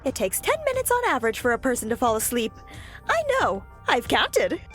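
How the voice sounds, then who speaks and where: Audio drama role: actress as quirky young analyst, high voice, wildly varied intonation, pedantic. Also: female voice, wildly swooping pitch, a little fast, soft background music. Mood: matter-of-fact. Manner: pedantic, precise. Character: quirky, analytical, matter-of-fact young woman. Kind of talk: anime-style audio drama.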